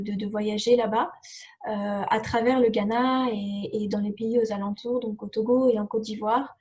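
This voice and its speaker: A woman's voice: a little high, warm tone. A young woman whose first language is English, answering interview questions casually with many fillers and restarts. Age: young adult. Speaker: exchange student guest.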